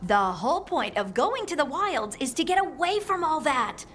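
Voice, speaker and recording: A woman's voice: high voice, very animated, normal speed, fairly bright tone, soft background music. An actress playing a practical, outspoken young outdoorswoman in a video game, plain-spoken and friendly with teasing exasperation. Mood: exasperated, teasing. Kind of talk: video game cutscene acting.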